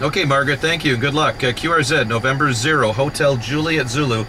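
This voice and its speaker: A man working a ham radio contest from a vehicle, briskly trading call signs in the phonetic alphabet with frequent "uh" fillers. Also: mid-range voice. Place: inside a moving vehicle. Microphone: mobile radio microphone. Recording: loud background noise from a mobile radio station.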